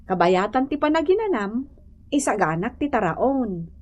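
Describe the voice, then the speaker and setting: A woman's voice: a little high, big pitch swings, moderate pace, darkish tone. An actress with an Ilocano accent playing a chastened wife trying to mend her ways in a radio drama, speaking hesitantly. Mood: mild, flustered.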